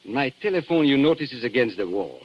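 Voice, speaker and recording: An actor in a 1940s radio drama playing a suave, mocking, menacing antique dealer who speaks with formal, deliberate politeness. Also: male voice, mid-range voice, big pitch swings, breathy edge. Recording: muffled vintage 1940s radio recording.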